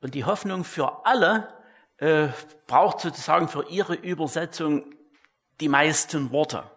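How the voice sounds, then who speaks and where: A man giving an explanatory church talk in a deliberate, didactic way, often tagging his points with a short "ja". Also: a little high, a bit bright, husky. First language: German. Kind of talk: explanatory church lecture on Bible translation.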